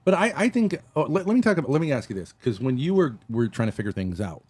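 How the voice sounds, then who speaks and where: A man's voice: medium pitch, very animated, quick pace, darkish tone, breathy edge, no room sound. A man from Rhode Island in a casual voice chat, asking probing, leading questions and restarting his sentences often. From Rhode Island, United States. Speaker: father in a voice chat.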